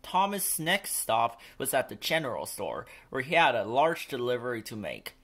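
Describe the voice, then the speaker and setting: A man's voice: medium pitch, sing-song, moderate pace, fairly bright tone, breathy edge. Man reading a children's storybook aloud. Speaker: children's book narrator.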